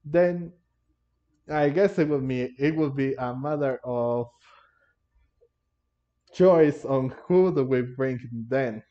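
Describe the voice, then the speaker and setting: Halting man commenting on a game, muffled. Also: mid-range voice, dark tone. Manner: halting, repetitive, rambling. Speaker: gamer, video host. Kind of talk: casual game playthrough commentary. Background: game music.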